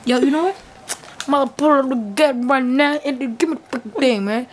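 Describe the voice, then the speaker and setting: A young woman with a fairly high voice talks casually, bossy and teasing, full of slang. She is recorded in a room at home on a handheld device, with some noise and clicks behind her. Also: quick pace. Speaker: prank caller. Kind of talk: casual chat. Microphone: handheld camera or phone.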